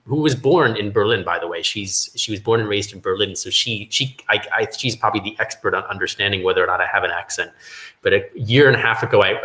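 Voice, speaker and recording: A young man from New York talks on a video call, hesitating and doubling back on his words. His voice sits a little low but sounds bright and forceful. The laptop mic catches plenty of echo from his room at home. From New York, USA. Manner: hesitant, repeats words while thinking. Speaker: meetup participant. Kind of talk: casual video-call chat. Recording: roomy echo.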